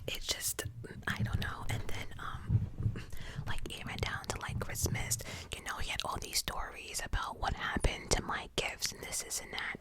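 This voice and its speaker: A woman telling a personal story in a steady whisper, casual and profane, with many fillers. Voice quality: whispering throughout.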